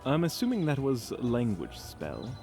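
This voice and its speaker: A man's voice: mid-range voice, huge pitch swings, unhurried, over music. A man playing a well-spoken, curious fantasy adventurer with a wordy, refined, theatrical manner. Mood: curious, musing.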